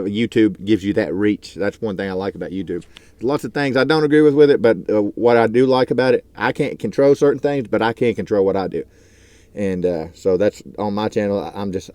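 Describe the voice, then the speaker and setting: A man's voice: on the low side, a little mellow, no room sound. A man in his thirties talking casually to his vlog from his truck, earnest and rambling with lots of fillers. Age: 30s. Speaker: truck driver and YouTuber.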